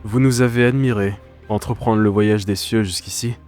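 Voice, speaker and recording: A man's voice: lowish voice, fairly even intonation, moderate pace, a little mellow, soft background music, close to an everyday voice. A man acting in an audio drama as a courteous, solemn armored soldier of a goddess, speaking formally. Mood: solemn, proud. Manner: formal, ceremonious.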